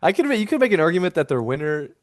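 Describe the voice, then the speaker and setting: A man's voice: fairly high pitch, sing-song, rapid-fire, no echo, studio quality. A man co-hosting a sports podcast with casual, chatty banter.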